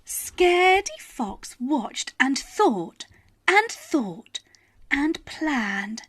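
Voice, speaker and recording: A woman reading a children's bedtime story expressively, with dramatic pauses and emphasis. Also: high voice, very animated.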